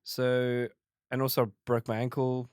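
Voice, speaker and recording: A man in his forties with a faint South African accent under an Australian one, telling stories in a relaxed interview, full of restarts and fillers. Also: medium pitch, a little slow, clear, resonant tone, dry recording, studio quality. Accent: faint South African, mostly Australian. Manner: rambling, many restarts and fillers. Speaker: guest comedian. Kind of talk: casual interview chat.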